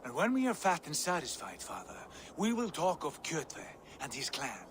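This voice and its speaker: An actor playing a hearty, confident Viking prince home from voyaging in a video game, speaking grandly. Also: male voice, fairly high pitch, wildly swooping pitch, normal speed, background noise. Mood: earnest. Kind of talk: dramatic video game acting.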